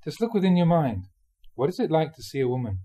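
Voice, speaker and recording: A man reading Buddhist teachings aloud like a monk giving a dharma talk, with measured, emphatic phrasing. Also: fairly high pitch, very animated, warm tone.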